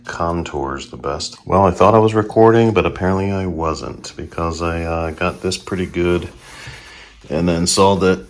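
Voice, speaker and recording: Very deep-voiced man explaining DIY work. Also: moderate pace. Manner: relaxed, plain step-by-step explaining. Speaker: DIY vlogger. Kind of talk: casual how-to narration while working. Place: small indoor room at home. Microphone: handheld phone camera. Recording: sanding and scraping noise behind.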